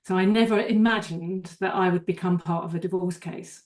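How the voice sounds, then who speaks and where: A woman's voice: lowish voice, fairly even intonation, moderate pace. An older woman, a divorce coach, giving an earnest webinar presentation with small restarts.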